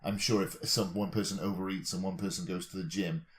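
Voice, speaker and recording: A man with a low, deep voice chats as a podcast co-host. He works through speculative ideas in a discursive way, building on examples as he goes. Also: steady tone, fairly quick. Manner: discursive, reasoning aloud with examples.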